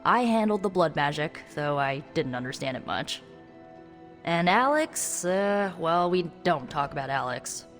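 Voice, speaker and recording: A woman's voice: low-pitched, big pitch swings. A woman playing a young spellcaster hero in a video game, wry and guarded, talking in a conversational, hesitant way. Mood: fond, then guarded. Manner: wry, hesitant, halting asides. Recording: long reverb, music underneath.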